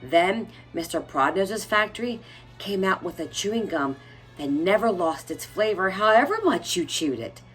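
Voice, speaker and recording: A woman's voice: medium pitch, fairly bright tone, natural voice, poor audio. A woman voicing an old grandfather who tells a mysterious tale in a dramatic, suspenseful storybook manner. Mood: marveling.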